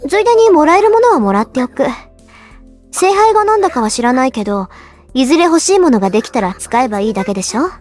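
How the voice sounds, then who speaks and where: An actress playing a confident, sharp-tongued young woman mage in an anime visual novel, lecturing briskly and teasingly. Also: high voice, sing-song, faint music behind. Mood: confident, flippant. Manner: brisk, self-assured, lecturing.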